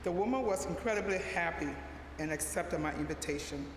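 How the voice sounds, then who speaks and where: A middle-aged woman from Liberia, reading a sermon in a measured, deliberate way with a few restarts. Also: fairly low pitch. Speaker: lay preacher, social worker. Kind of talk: sermon read from a prepared text. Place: church sanctuary. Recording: echoey church hall, faint background hum.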